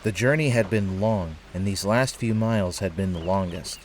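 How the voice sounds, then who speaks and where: A man narrating a scripted audio drama in a measured storytelling manner. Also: low voice, slight background noise. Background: music.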